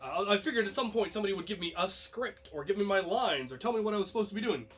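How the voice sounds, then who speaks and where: A young man hosting a vlog, telling a personal story casually to his viewers in an animated way. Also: high voice, very fast, husky. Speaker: host and storyteller.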